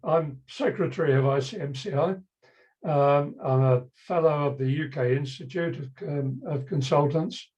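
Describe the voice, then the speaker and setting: Man, slightly high voice, lo-fi line. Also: normal speed, darkish tone. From United Kingdom. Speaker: secretary of the association. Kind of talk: self-introduction on a video call. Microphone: video call.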